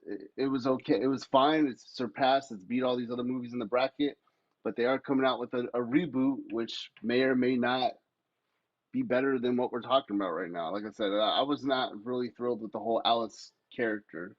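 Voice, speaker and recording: Male podcast co-host, average pitch, blunt and sweary, dry but dull-sounding mic. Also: a little fast, no room sound. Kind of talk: casual opinionated podcast debate.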